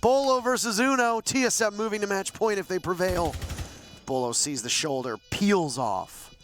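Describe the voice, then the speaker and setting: A man casting live esports play-by-play, excitable, his voice jumping up at big plays. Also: high voice, moderate pace, soft background music. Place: broadcast desk at a live event.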